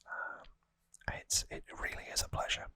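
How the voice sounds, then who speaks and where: An ASMR host speaking in a breathy, unvoiced whisper, gentle and earnest, slightly rambling to viewers, close on the microphone. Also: measured pace, dry recording. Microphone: close microphone in a dry space.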